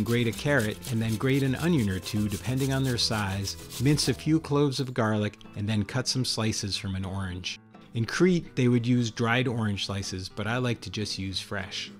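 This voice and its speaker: Fifties male cooking host, mid-pitched, relaxed instructional delivery, light music bed. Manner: friendly, explanatory, step-by-step instructions. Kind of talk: casual cooking demonstration. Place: home kitchen indoors.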